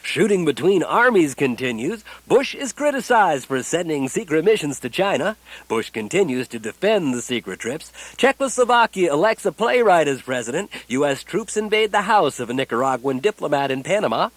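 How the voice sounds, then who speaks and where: Animated, vacuous male news anchor played by an actor. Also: on the high side, moderate pace, dry recording. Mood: mock newsreading. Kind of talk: parody newscast.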